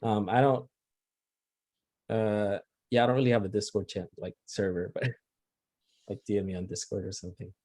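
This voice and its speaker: A man's voice: on the low side, fairly even intonation, moderate pace, pauses often, darkish tone, dry recording. A man with a Filipino accent, a guest speaking on a video call, shy and laughing as he trails off. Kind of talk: casual video call chat.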